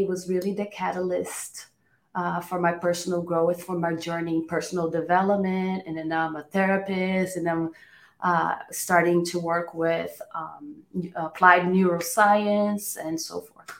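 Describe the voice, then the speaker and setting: A woman's voice: on the low side, fairly even intonation, normal speed. A woman from Brazil with a Brazilian accent, a native Portuguese speaker, giving conversational answers as an interview guest. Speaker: podcast guest, coach and therapist. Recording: roomy, echoey room sound.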